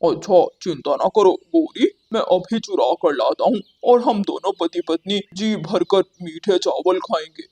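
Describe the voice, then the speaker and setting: A woman's voice: lowish voice, lively intonation, unhurried, few pauses, dark tone, husky. A woman voicing a sneaky, greedy male crow in a cartoon, with a scheming, self-satisfied manner.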